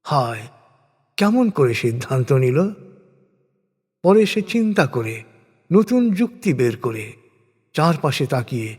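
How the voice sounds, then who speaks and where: Guy doing solemn scripture narration, big reverb, slow n dramatic, kinda husky, voice rises and falls a lot. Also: medium pitch, very animated, darkish tone. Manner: measured, dramatic phrasing with deliberate pauses. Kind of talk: solemn scripted religious recitation in prose. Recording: long hall-like reverb.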